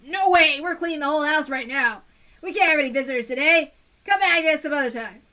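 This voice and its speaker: A woman voicing a bullying, abusive middle-aged man who snarls and shouts. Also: fairly high pitch, fairly even intonation, fairly quick, lightly acted. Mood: angry shouting. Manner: belligerent, shouting, sneering.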